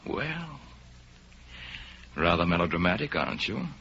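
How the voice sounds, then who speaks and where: A muffled old radio recording of a suave, fatalistic spy. His low voice is theatrical and measured, intimate and gently mocking, with a forceful bright edge. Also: big pitch swings, slight background noise, exaggerated voice. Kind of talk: 1930s radio drama acting.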